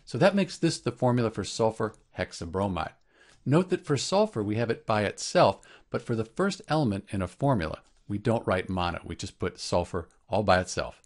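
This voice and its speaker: Man teaching with very lively intonation. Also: lowish voice, a little fast. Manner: explanatory, step-by-step. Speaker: chemistry teacher. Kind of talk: scripted instructional narration. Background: voice-over for a screen tutorial.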